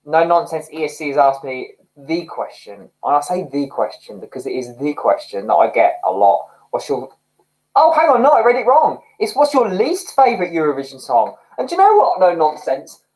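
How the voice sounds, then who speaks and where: A man's voice: fairly high pitch, sing-song, moderate pace, darkish tone, poor audio. A man hosting a casual livestream from home, reading viewer comments, chatty and self-mocking and often laughing. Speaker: livestream host. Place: home, indoors.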